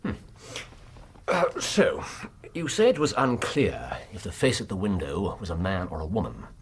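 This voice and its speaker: Victorian detective, British, analytical, deliberate, low voice with very lively intonation, radio drama. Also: male voice, slightly rough, exaggerated voice. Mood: thoughtful, analytical. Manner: probing, deliberate, precise.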